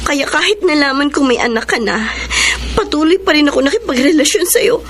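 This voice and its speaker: A woman's voice: high voice, animated, brisk, a bit bright, a little hiss or hum. An actress playing a heartbroken young woman confronting her unfaithful boyfriend, tearful and pleading, in a radio drama. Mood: hurt, accusing. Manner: tearful, pleading, breaking into sobs. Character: heartbroken young woman betrayed by a cheating lover. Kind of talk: 1990s-style Filipino radio drama acting. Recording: radio drama with music underneath.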